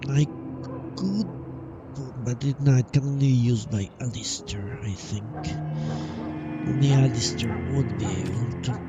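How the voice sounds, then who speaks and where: A man's voice: medium pitch, unhurried, far from the mic, lo-fi sound. A man doing casual live gaming commentary, muttering to himself as he thinks aloud. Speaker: game streamer. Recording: loud game music behind the voice. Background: loud video game music.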